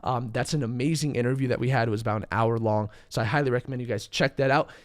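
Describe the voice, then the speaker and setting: A young man hosting a live crypto trading stream, a little hoarse and under the weather, talking casually and answering chat questions. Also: mid-range voice, fairly even intonation, quick pace. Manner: casual, chatty, uses fillers, reads chat questions. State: losing his voice, a bit sick. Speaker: crypto trading channel host. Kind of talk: live stream market commentary.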